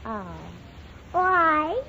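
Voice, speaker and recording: A woman's voice: extremely high pitch, animated, drawn-out words, exaggerated voice. A woman playing a naive, stubborn, inquisitive little girl with childish wheedling questions in 1930s radio comedy. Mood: grudgingly obedient. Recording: noisy muffled 1930s radio recording.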